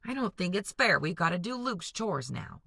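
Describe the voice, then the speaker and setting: A woman's voice: on the low side, sing-song, normal speed, gravelly, a bit bright, husky, dry recording, exaggerated voice. A woman voicing a resentful teenage farm boy who grumbles about extra chores, in a storybook read-aloud. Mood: grumbling. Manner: complaining, sulky.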